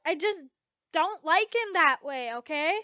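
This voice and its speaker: A woman's voice: extremely high pitch, lively intonation, measured pace, sharp tone, no room sound, muffled recording, heavily acted. A woman voicing a flustered, stubborn young woman in denial about love, stammering and emphatic in an amateur story reading. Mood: exasperated.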